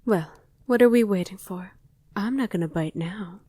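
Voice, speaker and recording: A woman's voice: mid-range voice, warm tone, slightly rough, no echo, natural voice. A woman playing a loving, wistful vampire wife in an intimate ASMR roleplay, speaking tenderly and often in a whisper, close to the microphone. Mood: soft, coaxing. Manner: slow, tender, coaxing murmur. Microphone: close, dry microphone.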